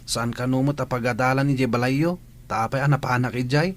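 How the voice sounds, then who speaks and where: A man's voice: medium pitch, fairly even intonation, brisk, natural voice. A man playing a casual, teasing young schoolmate in a radio drama. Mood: chatty teasing.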